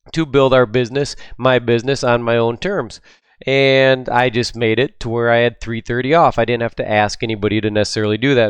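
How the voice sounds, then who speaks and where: A man's voice: mid-range voice, clean tone, no echo. Upbeat man with a stuffed-up voice. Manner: chatty and upbeat, with frequent ums. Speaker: podcast host. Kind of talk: casual solo podcast talk.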